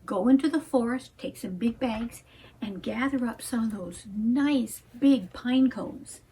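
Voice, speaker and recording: A woman voicing a kindly, patient male painter who mentors a boy, speaking in a gentle, encouraging, half-whispered storytelling manner. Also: very high-pitched, measured pace, a little hiss or hum, low-quality recording. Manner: gentle, encouraging, soft-spoken.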